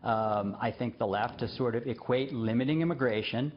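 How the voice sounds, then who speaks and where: A man speaks as a guest on a news program, earnest and full of fillers and brief laughs. His voice sits at a middle pitch. The broadcast audio sounds slightly dull. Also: moderate pace. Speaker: guest, journalist and former diplomat. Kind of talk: remote interview.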